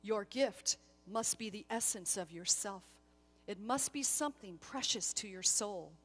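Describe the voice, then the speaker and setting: Solemn angel gatekeeper played by a woman, firm, measured, authoritative yet not unkind, dramatic storytelling. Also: medium pitch, animated, fairly bright tone, breathy edge, in a large hall. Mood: firm, formal.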